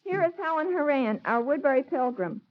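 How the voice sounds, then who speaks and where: A woman's voice: high voice, expressive, brisk. A teenage American young woman hosting as student editor, reciting scripted dialogue formally on a dull 1940s radio recording. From United States. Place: live radio broadcast.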